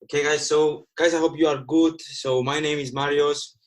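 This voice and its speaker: A man's voice: fairly high pitch, steady tone, normal speed. A man in his twenties from Cyprus whose first language is Greek, speaking casually and earnestly to livestream viewers as a guest trader. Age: 20s. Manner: earnest, repeats himself, addresses viewers as guys.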